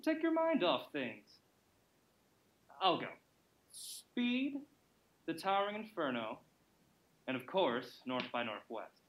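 A man's voice: fairly high pitch, sing-song, takes it slowly, pauses often, fairly bright tone, roomy sound, full character voice, low-quality recording. A man acting a glib, playful, reassuring character named Grant in a theatrical old-time radio-style drama, with wry banter.

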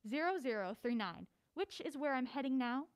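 A woman's voice: sits high, lively intonation, normal speed, smooth. An actress playing a mild-mannered film-obsessed woman with dry, wry banter in a naturalistic audio drama. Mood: proud, passionate.

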